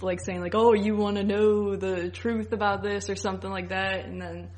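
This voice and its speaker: A woman's voice: mid-range voice, flat delivery, normal speed, a little mellow. A woman co-hosting a podcast, chatting in a slangy, rambling way peppered with "like". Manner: slangy, rambling, heavy use of "like". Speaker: podcast co-host. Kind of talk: casual chat reviewing a TV series.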